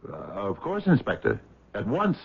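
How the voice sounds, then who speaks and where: An actor with an American accent playing a brash, overfamiliar professor abroad in a radio drama, glib in manner. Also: male voice, fairly low pitch, wildly swooping pitch, moderate pace, gravelly, noisy background, full character voice. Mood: smooth, surprised. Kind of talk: 1970s radio drama acting. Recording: dull lo-fi old radio recording.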